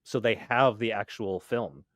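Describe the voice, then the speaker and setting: A man's voice: on the low side, a little slow, a bit bright. A man co-hosting a casual podcast, explaining details like a knowledgeable fan. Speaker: podcast co-host. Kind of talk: casual chat.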